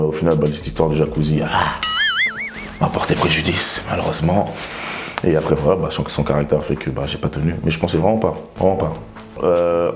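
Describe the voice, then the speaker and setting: A man in his twenties, from Togo, answering interview questions in a relaxed, rambling way with lots of fillers. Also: very deep voice. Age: 20s. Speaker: reality TV contestant.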